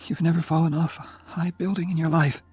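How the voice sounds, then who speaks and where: A teasing, tough young woman on her deathbed speaks in a raised, breathy voice, bossing and mocking her man fondly, as a male narrator puts her on. The voice sits far above his own, with a husky edge, and faint music plays under the storybook reading. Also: a little high, level tone, brisk, a little mellow, no room sound, voice pushed up. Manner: mocking, bossy, tender underneath. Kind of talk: audiobook character reading.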